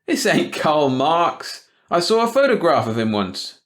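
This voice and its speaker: A man's voice: on the high side, big pitch swings, unhurried, fairly bright tone, voice pushed up. A man voicing an easygoing small-town grocery clerk who speaks in a friendly, casual way.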